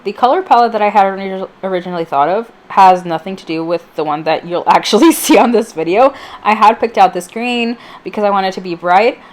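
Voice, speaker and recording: A woman with a mid-pitched voice that sounds a little off from allergies. She narrates a craft vlog in an informal, chatty way, wandering through her thoughts with plenty of fillers and gentle self-deprecation. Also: fairly quick. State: slightly off voice from allergies. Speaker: vlogger, channel host. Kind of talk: casual chatty voice-over for a craft video.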